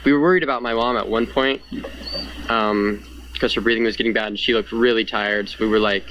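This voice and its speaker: A man's voice: fairly low pitch, fairly even intonation, brisk, a bit bright, highs cut off. A young man giving an earnest, conversational personal account in an interview, often saying "like" as he goes. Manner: earnest, conversational, frequent 'like'. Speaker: fire survivor being interviewed. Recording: clear background noise.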